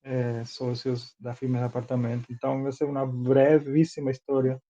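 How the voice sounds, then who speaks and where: A man's voice: medium pitch, level tone, moderate pace, dark tone, muffled recording. A man from Cuba with a Cuban accent and Spanish as his first language, answering informally as an interview guest. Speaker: interview guest, film producer and director.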